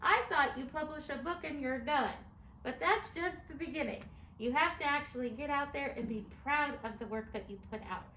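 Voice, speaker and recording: A woman's voice: high-pitched, normal speed, pushed and bright, dull audio. A middle-aged American woman, an author explaining things step by step in an articulate way as she answers interview questions. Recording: echoey room with clear background noise.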